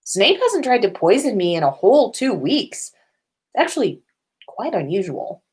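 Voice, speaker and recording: A woman's voice: medium pitch, very animated, moderate pace, slightly rough. A woman voicing an exasperated, sarcastic teenage wizard boy in a fan fiction story reading. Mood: dry. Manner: indignant, loud, sarcastic.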